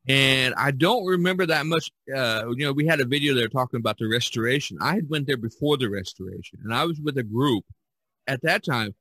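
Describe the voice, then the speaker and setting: A man hosting a podcast, talking casually about art in a rambling, halting way with frequent restarts. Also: on the low side, sharp tone, no room sound, low-quality recording. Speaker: podcast host discussing art. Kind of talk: casual conversational discussion.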